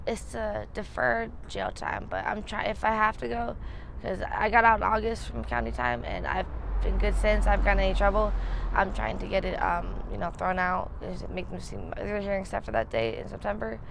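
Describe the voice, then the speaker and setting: A woman's voice: mid-range voice, very animated, fairly quick, gravelly, background noise. A woman in her twenties from upstate New York, answering a street interview in a rambling way with many fillers. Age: 20s.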